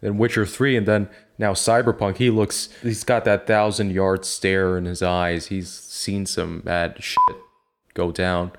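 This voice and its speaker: A man with a low voice on a casual podcast. He delivers his lines with dry, sarcastic understatement. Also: little pitch movement, a little slow. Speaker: podcast co-host.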